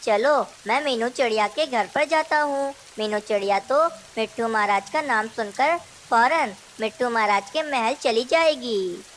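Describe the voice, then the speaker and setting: Woman as male cartoon crow, high-pitched, sly, lazy, brazen trickster, light background hiss. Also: a little slow, hardly any pauses, fairly bright tone, rough recording. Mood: scheming. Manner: scheming, brazen. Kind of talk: Hindi children's cartoon dialogue.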